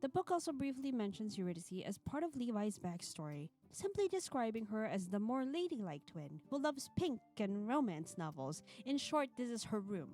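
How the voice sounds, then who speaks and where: Higher-pitched playful woman streamer over music. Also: very animated, moderate pace, hardly any pauses, a little mellow. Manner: playful, self-deprecating. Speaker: streamer and host reading a visual novel aloud. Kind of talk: live stream chat mixed with expressive narration. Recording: music playing behind the voice. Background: background music.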